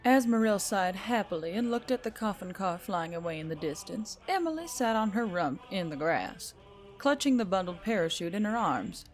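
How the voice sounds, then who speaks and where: A woman's voice: medium pitch, animated, moderate pace, faint music behind. A woman reading scripted fiction as a storyteller, in a dramatic narrating style.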